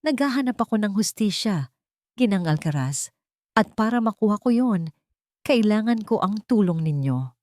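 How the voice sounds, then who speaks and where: A woman's voice: medium pitch, animated, normal speed, a little mellow, dry recording, clean studio sound, pitched above the performer's own voice, close to an everyday voice. A woman voicing a serious, determined man bent on justice, speaking formally and insistently in an audio drama.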